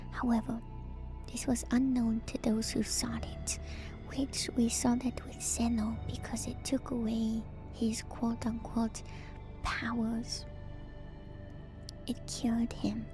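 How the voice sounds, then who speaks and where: A woman whispers slowly in a soft, breathy voice that sits a little high. She delivers casual ASMR commentary in a musing, hesitant way, letting phrases trail. Music plays clearly behind her. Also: flat delivery. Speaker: streamer hosting an ASMR lore stream.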